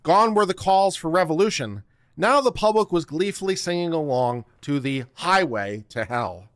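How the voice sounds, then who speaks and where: Animated middle-aged man reading dramatically. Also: a little high, normal speed, no room sound, clean studio sound. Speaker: host reading a document aloud. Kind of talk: dramatic read-aloud of a written text. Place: small home studio room. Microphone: close microphone on a boom arm.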